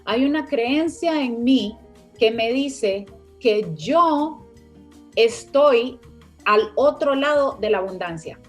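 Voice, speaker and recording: A woman explains her ideas step by step as the guest in an online conversation. Her voice sits a bit high, and soft music plays underneath. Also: lively intonation, slow pace. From Costa Rica. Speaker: finance teacher and guest. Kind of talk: online interview, teaching.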